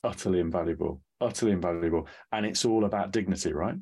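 Male interview host with a low, husky voice who stresses his points by repeating them. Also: fast talker, darkish tone. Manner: emphatic, repeats phrases for stress. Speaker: podcast host. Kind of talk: interview questions.

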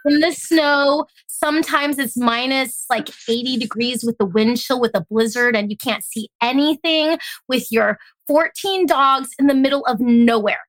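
A woman with an American accent, chatting casually as an interview guest and telling stories in an animated way. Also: high voice, moderate pace, few pauses, no echo, low-quality recording. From born in Hong Kong, grew up in Alaska. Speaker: guest, tea company founder.

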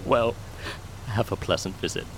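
A man's voice: on the low side, moderate pace, long reverb tail, slight background noise, natural voice, rough recording. An actor playing a folksy, courteous old country man with an enigmatic storyteller's manner in an audio drama. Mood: folksy, helpful. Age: older. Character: folksy, polite, unhurried old local man with dogs.

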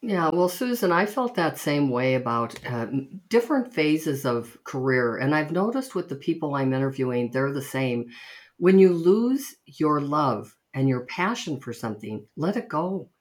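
A woman's voice: deep voice, big pitch swings, normal speed. A woman hosting a podcast, introducing her guest and asking questions with enthusiasm. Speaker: podcast host and coach. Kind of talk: conversational interview.

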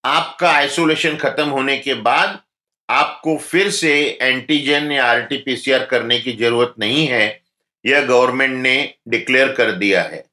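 A man speaking as a doctor, giving calm, instructive health advice in an interview. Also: mid-range voice, unhurried, reverberant.

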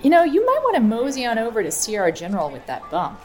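A woman's voice: on the high side, huge pitch swings, quick pace. A woman playing a brash, slangy young local cop in an audio drama, with a casual, teasing manner. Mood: teasing, concerned. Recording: loud music under the voice. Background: loud music.

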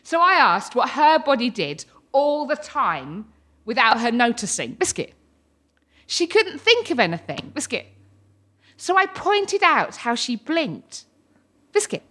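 A British woman tells a personal story in a very high, animated voice. She speaks slowly and unhurriedly, and frequent involuntary vocal tics drop stray words into her sentences.

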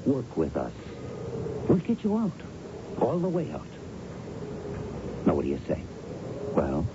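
Shrewd low-voiced police lieutenant, swooping delivery. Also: male voice, huge pitch swings, unhurried, highs cut off, heavily acted. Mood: coaxing. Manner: probing, persuasive, pointed questioning. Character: shrewd, pressing male police lieutenant coaxing a confession. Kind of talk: 1970s radio drama acting. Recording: noisy band-limited old radio broadcast.

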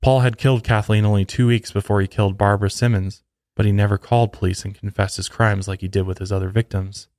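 Low-voiced man narrating true crime, close and dry. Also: fairly even intonation, quick pace, hardly any pauses, a little mellow, no echo. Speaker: podcast host.

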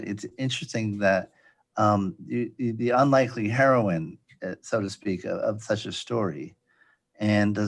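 Low-voiced, halting male interview host. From Providence. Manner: halting, with many ums and restarts. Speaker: podcast host. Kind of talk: interview hosting.

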